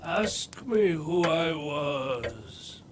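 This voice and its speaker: A man playing a grave, solemn ghost of a dead business partner in an audio drama, speaking deliberately. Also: on the high side, lively intonation, slight background noise.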